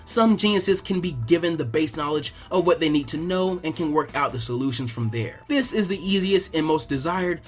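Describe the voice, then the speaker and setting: A man's voice: on the high side, normal speed, few pauses, soft background music. A man reading fan fiction aloud as a scripted narrator.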